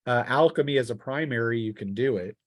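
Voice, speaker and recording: Halting man running a tabletop game. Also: mid-range voice. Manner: halting, thinking aloud. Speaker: game master. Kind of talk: casual tabletop role-playing game talk.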